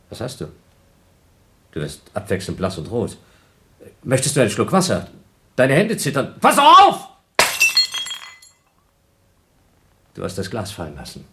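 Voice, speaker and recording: A man's voice: mid-range voice, fairly bright tone. A man playing an impulsive, sarcastic astronaut in a German radio play, arguing and accusing his crewmate. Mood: needling, insistent. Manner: argumentative, sarcastic, swinging from muttering to exclaiming.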